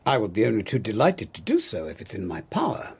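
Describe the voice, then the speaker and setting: An actor playing a grave, formal English family solicitor with a deliberate manner, in a radio drama. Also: male voice, lowish voice, huge pitch swings, a little mellow, slightly rough, heavily acted.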